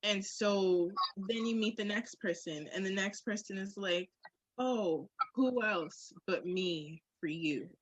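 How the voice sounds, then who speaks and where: A woman's voice: mid-range voice, slow pace, muffled recording, a little hiss or hum. A woman chatting candidly and casually on a podcast, emphatic and slangy with punchy phrasing.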